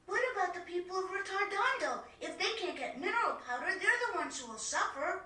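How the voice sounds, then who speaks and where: A woman's voice: very high-pitched, animated, a little fast, sharp tone, full character voice. A woman voicing an eager, chatty young boy adventurer in a video game cutscene. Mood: earnest. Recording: echoey, distant game audio.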